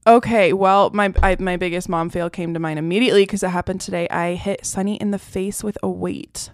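A woman's voice: fairly low pitch, fairly even intonation, brisk. A woman co-hosting a podcast, chatting casually and telling stories informally with quoted asides. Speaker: podcast co-host.

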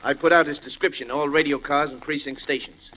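A businesslike police official in old radio drama. The voice sits fairly high and sounds confident and matter-of-fact, with faint noise on the recording. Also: male voice, fairly even intonation, brisk, sharp tone, low-quality recording. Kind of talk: 1940s radio drama acting.

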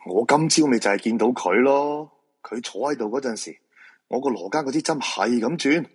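An actor playing a polite, nervous fortune-teller who sees ghosts, in a Hong Kong Cantonese radio drama, with a courteous, probing manner. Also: male voice, mid-range voice, expressive, normal speed, husky. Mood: alarmed, reasoning. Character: polite, nervous male feng shui fortune-teller with ghost-seeing eyes.

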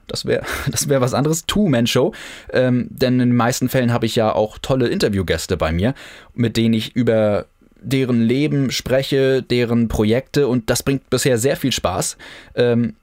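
Low-voiced chatty northern German man. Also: dry recording, clean studio sound. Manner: chatty, loose, many fillers and restarts. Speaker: podcast host. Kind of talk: casual podcast monologue.

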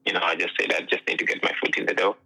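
A young man from Uganda, a pro soccer player telling his story in a relaxed interview, full of "you know" fillers, heard over what sounds like a phone line. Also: sits low, very fast, vocal fry, breathy edge. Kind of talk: casual interview chat.

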